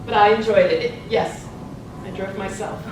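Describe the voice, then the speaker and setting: A woman's voice: fairly even intonation, normal speed, natural voice. A woman playing an earnest, eager newspaper reporter in a live stage play, recorded in an echoey room. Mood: eager, awed. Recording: echoey noisy room, distant mic, low rumble.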